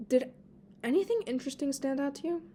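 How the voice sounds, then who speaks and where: A woman's voice: sits high, fairly quick, mellow, voice pushed up, natural voice. Lady doing a playful fae guy from a fanfic, breezy n fussy, teasing, slightly noisy homemade audio. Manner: breezy, chiding, instructing. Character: playful, teasing male fae student, fussing caretaker.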